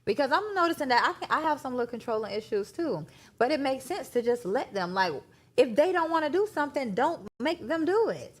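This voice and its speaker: An American woman chatting casually on a talk show panel, agreeable and quick to chime in. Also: high voice, sing-song.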